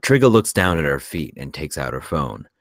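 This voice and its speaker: A man narrates a screenplay in a very deep voice. He reads out scene and action directions as scripted narration. The sound is dry, with little room. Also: sing-song, normal speed, no room sound. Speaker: narrator reading stage directions.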